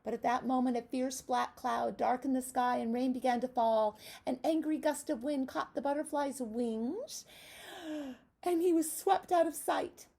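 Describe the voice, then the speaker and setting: A woman's voice: high voice, unhurried, vocal fry, mellow. A woman teacher reading a picture book aloud expressively to young children, adding encouraging asides and questions.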